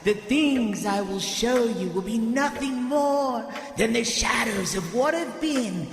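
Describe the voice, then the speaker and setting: Echoing, very slow spirit guide played by a man. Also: extremely high pitch, lively intonation, creaky, exaggerated voice. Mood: solemn. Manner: measured, commanding. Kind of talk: theatrical audio drama acting. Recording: long cavernous reverb, music behind.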